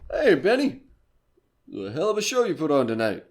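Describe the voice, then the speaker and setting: A man playing a flattering, small-time comedy club manager in an audio drama, halting and ingratiating. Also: on the high side. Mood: ingratiating, nervous.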